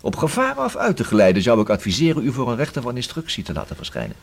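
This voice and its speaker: An actor in a Dutch radio play playing a persistent, dryly ironic police inspector, with a husky voice and formal, measured manner. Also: male voice, mid-range voice, wildly swooping pitch, full character voice. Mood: dryly ironic, insistent.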